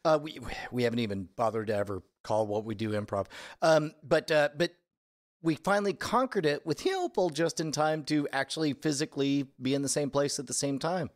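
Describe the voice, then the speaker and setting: An American man talks in a fairly high voice for a male speaker. He co-hosts a podcast and keeps the chat casual, comedic and full of playful riffs. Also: fairly quick. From United States. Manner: playful, riffing. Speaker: podcast co-host.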